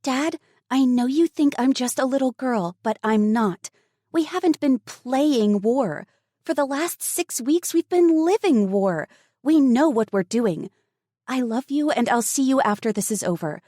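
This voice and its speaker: A woman's voice: fairly high pitch, animated, unhurried, warm tone, no echo, studio quality, voice pushed up. A woman voicing a defiant teenage girl standing up to her father. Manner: defiant, pleading.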